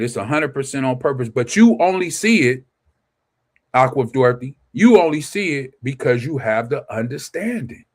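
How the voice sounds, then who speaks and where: A man reading scripture aloud and preaching informally to an online audience, asking rhetorical questions and answering them himself. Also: a little high. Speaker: scripture teacher. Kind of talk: informal scripture study livestream.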